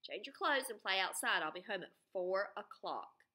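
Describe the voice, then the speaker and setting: A strict mother from a children's storybook, acted out by a woman reading aloud. She sounds bright and firm, correcting and instructing in a motherly way. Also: mid-range voice, animated, moderate pace.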